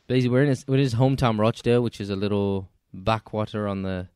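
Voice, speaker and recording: A male podcast host with a low-pitched, smooth, resonant voice. He talks in a polite, measured way with a touch of joking. The sound is close and dry, with no room around it. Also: darkish tone, no echo. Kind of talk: casual interview chat.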